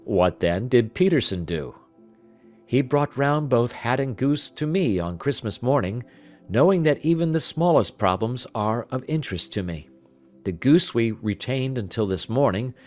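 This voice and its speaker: A man voicing a confident, analytical gentleman detective in an audiobook, speaking in a precise, measured, lecturing manner. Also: mid-range voice, close to an everyday voice. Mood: assured, explanatory. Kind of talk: audiobook character dialogue.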